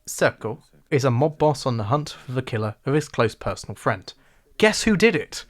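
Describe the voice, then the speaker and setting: A man with an English RP accent narrating a scripted video essay in a wry, sarcastic, animated way. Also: mid-range voice, normal speed, background noise.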